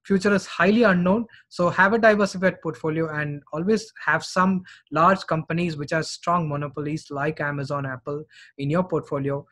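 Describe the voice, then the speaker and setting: Higher-voiced man talking investing. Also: steady tone, normal speed, a bit bright. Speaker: finance vlogger. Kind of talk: informal investment commentary.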